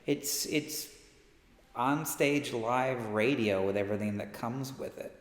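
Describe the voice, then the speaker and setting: A man's voice: mid-range voice, slow pace. A man talking casually and with interest in a documentary interview. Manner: enthusiastic. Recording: echoey room with faint music behind.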